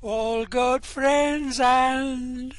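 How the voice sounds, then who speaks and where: Cheery sing-song computer announcer, man reading it, slow and upbeat. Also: extremely high pitch, steady tone, dry recording, clean studio sound, exaggerated voice. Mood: chirpy. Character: chirpy male shipboard computer. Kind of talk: amateur radio play reading.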